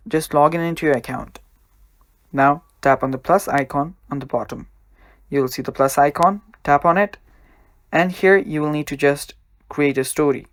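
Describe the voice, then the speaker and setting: A man's voice: on the high side, no room sound, clean studio sound. A man hosting a casual step-by-step tutorial as a voice-over, addressing viewers directly with simple instructions. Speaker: tutorial host.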